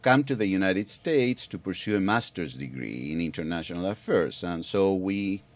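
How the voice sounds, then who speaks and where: Man, very deep voice, middle-aged, from Argentina, interview guest, measured, hesitant restarts. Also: expressive. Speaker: guest expert. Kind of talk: public affairs interview.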